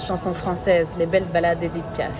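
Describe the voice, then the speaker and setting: A woman from France with a French accent, chatting casually as a podcast guest in a playful, expressive way. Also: fairly low pitch, fairly quick, loud music behind the voice. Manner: playful, giggly, expressive, repeats words for emphasis. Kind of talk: casual chat.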